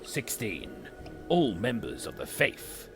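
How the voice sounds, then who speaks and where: An actor playing a domineering male pastor who speaks in a grave, commanding and threatening way, with a gravelly voice. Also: mid-range voice, wildly swooping pitch, slow pace, a bit bright, slight background noise, heavily acted. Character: domineering male pastor of a grim city chapel.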